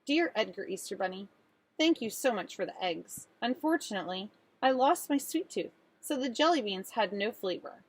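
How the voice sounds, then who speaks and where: A woman voicing an earnest little girl who tells her own storybook tale in an expressive, pleading way. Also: medium pitch, moderate pace, slightly rough, dry recording. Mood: polite, pleading. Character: earnest little girl narrator with a sweet tooth.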